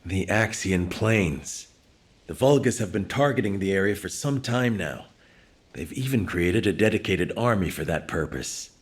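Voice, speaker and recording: An actor playing a careful, analytical military strategist in a game cutscene, measured and formal. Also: male voice, low-pitched, lively intonation.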